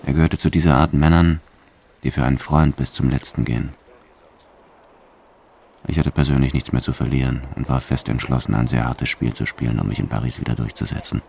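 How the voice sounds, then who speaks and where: A man's voice: very deep voice, steady tone, brisk, vocal fry, a little mellow, a little hiss or hum. An actor playing a hardened, reckless French bank robber who tells his story in a cold, matter-of-fact, boastful way for a radio drama. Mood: matter-of-fact, hard. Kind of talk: first-person radio drama narration.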